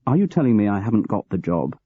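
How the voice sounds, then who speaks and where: A loyal middle-aged manager, as a male narrator plays him, polite and restrained. The voice is low and husky, recorded dry on a dull, lo-fi track. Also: sing-song, fast talker, no echo.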